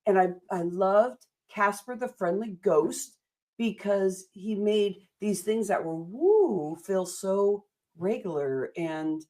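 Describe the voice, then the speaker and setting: A woman's voice: medium pitch, takes it slowly, darkish tone. An American woman hosting a motivational interview, enthusiastic and a little rambling, speaking in affirming phrases. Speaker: interview host.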